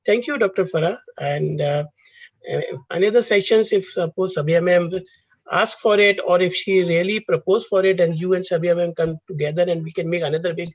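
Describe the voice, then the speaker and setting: A man hosts an online session in a husky voice pitched high for a man. He rambles and gushes as he speaks. The audio is dull and lo-fi, close and dry over a video call. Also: fairly even intonation, brisk, no room sound. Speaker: faculty host.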